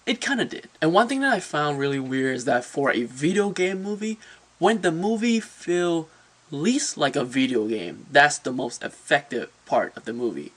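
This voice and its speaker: A man's voice: fairly high pitch, animated, moderate pace, a bit bright. A man giving a casual, opinionated movie review as a channel host, talking informally and often repeating words as he searches for phrasing.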